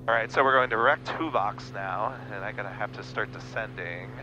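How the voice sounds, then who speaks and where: Low-voiced man on a muffled cockpit headset. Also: fairly quick. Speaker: pilot flying a small plane. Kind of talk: casual cockpit chat with radio calls. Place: small airplane cockpit in flight. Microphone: aviation headset. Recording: muffled headset audio, clear cockpit noise. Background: engine hum and radio traffic.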